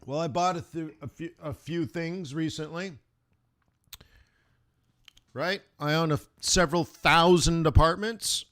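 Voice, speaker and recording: A man's voice: fairly high pitch, measured pace, pauses often, fairly bright tone. A middle-aged man hosting a live trading stream, talking casually in rambling asides and laughing often. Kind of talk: casual rambling livestream talk.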